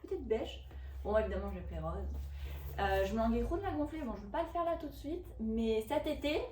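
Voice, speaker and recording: Woman, 30s, high-pitched, chatty haul vlogger who rambles through asides. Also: animated, fast talker, mellow, slight background noise, low-quality recording. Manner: chatty and rambling, with frequent fillers and self-corrections. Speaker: vlogger reviewing a shopping haul. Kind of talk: casual haul chat to camera.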